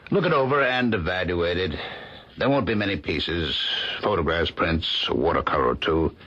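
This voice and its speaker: A man's voice: deep voice, lively intonation, moderate pace, fairly bright tone, husky, exaggerated voice. A man acting in a radio drama as a courteous, dry-witted college superior who speaks in formal phrases. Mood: dry, polite. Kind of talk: radio theater acting, naturalistic dialogue. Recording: muffled, dull old radio broadcast.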